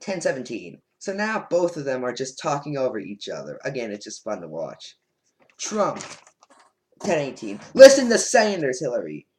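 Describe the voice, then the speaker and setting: A man casually rambling through his notes on a debate, sarcastic and animated. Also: medium pitch, normal speed. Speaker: political commentator vlogger. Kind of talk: casual reaction commentary over notes.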